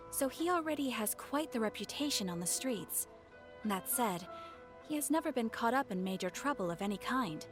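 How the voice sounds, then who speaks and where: A poised, courteous guild receptionist played by a woman, speaking in a formal and measured way. Her voice sits a little high, with faint game music behind. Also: close to an everyday voice. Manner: formal, composed, polite. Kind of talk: game voice acting.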